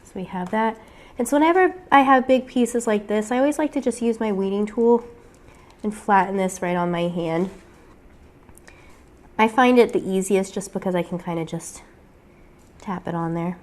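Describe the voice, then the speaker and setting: A woman's voice: medium pitch, normal speed, long pauses. A woman with a weak voice from getting over a cold, chatting casually through a step-by-step crafting tutorial with frequent fillers.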